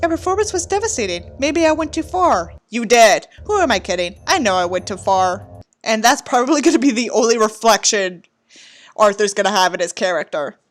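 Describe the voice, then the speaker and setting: A woman's voice: fairly high pitch, animated, a little fast. A woman giving a mock-dramatic reading of a book with theatrical, sarcastic delivery and candid asides. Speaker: host reading a book aloud with commentary.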